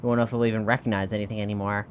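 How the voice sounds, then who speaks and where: A woman playing a tabletop role-playing game, improvising casually and thinking aloud in halting phrases. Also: very deep voice, flat delivery, slight background noise.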